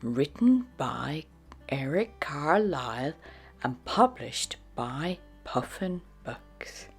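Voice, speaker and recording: Woman narrator for children's picture books: low, lilting and expressive, breathy, unhurried, over music. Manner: slow, deliberate, expressive storytelling. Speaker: storybook narrator. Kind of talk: children's read-aloud narration.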